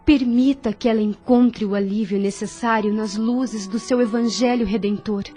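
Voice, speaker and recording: A kind young nurse in a Brazilian audio drama, played by a woman. Her voice is warm and mellow, sitting a little high. She speaks with gentle, devout earnestness while music plays faintly behind her. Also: steady tone, normal speed, close to an everyday voice. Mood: prayerful, earnest.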